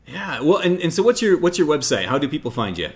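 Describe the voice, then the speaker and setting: Fast talking host guy, super gushy, keeps tripping over his words. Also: on the high side, very animated. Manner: effusive, stammering restarts, lots of "like". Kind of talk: enthusiastic interview chat.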